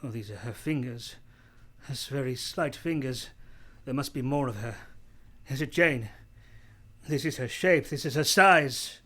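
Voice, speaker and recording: An actor playing a passionate, commanding blind gentleman in a live period radio romance, theatrical and fervent in delivery. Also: medium pitch, unhurried, a little hiss or hum. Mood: tender wonder.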